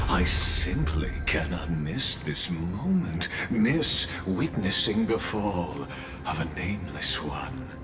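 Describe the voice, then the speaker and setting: An actor voicing a coldly polite, scheming villain in a video game, speaking in a pompous, formal and mocking way. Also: male voice, low-pitched, exaggerated voice. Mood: mocking, probing. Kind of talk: dramatic video game voice acting. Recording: music behind the voice. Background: music.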